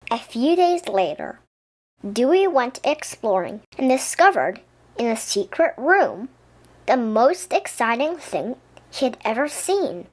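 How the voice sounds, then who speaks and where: A woman reading a children's story aloud as an expressive narrator. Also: fairly high pitch, slow pace. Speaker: storybook reader.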